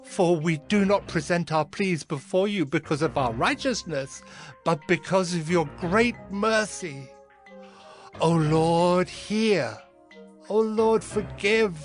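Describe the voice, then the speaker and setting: Devout prophet for scripture audio drama: humble, fervent, slightly high voice, measured and unhurried. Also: male voice. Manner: solemn, fervent, measured delivery. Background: background music.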